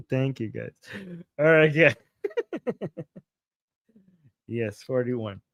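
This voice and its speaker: A man's voice: mid-range voice, sing-song, extremely slow, fairly bright tone, no room sound. A man in his forties hosting a casual livestream, reading viewer comments aloud and reacting with playful, chuckling asides and frequent repetitions. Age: 40s. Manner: playful, chuckling, repeats himself while reacting to chat. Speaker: livestream host.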